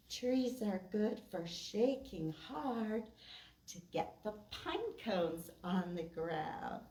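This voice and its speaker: A woman reads and recites for small children as a storytime host. She speaks very slowly and expressively, in simple phrases. She sounds a little distant from the mic, with clear room echo around her. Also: mid-range voice, a little mellow. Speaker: preschool storytime host at a library. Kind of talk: children's storytime, reciting rhymes and reading a picture book aloud.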